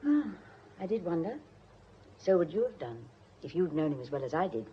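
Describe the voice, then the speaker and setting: A worried British secretary in a radio play. Her lowish voice is hesitant and guarded. The recording is dull with clear background noise. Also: big pitch swings, a little fast. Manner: hesitant, deferential, fretful. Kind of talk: British radio drama acting.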